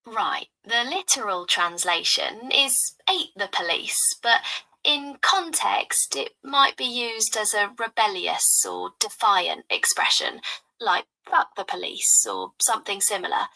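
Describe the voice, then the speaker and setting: A woman's voice: medium pitch, sing-song, a little slow, creaky, bright, forceful tone, rough recording. A synthetic woman's voice with a put-on Cockney accent, breezily explaining things to a user. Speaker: voice assistant. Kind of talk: chatty explaining.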